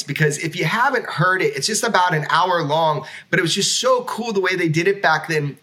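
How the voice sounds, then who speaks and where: A male podcast host with a fairly high, sharp, forward voice. He talks casually and excitably, putting emphasis on his points in an informal way. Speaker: talk show host. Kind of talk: enthusiastic casual podcast talk.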